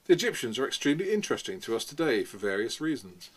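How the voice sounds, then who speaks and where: A man's voice: medium pitch, big pitch swings, fast talker, husky. A man doing a sarcastic old schoolteacher. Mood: mocking reading. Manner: sarcastic, lecturing. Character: sarcastic old male history teacher.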